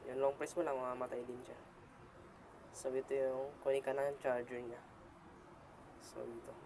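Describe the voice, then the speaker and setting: A man with a Filipino accent giving a casual product demonstration as a vlogger, halting as he goes and slipping between his own language and English phrases. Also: medium pitch, flat delivery. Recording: clearly noisy amateur vlog recording.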